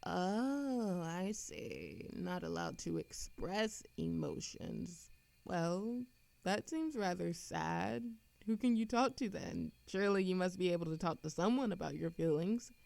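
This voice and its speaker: Woman voicing an ageless immortal flirt, audio roleplay, teasing, theatrical, swooping pitch, unhurried, coy. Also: big pitch swings, gravelly, a little mellow, no echo, exaggerated voice. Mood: sympathetic, curious. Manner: theatrical, coy, teasing, with frequent giggles and pauses. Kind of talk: scripted audio roleplay addressed to an unheard listener.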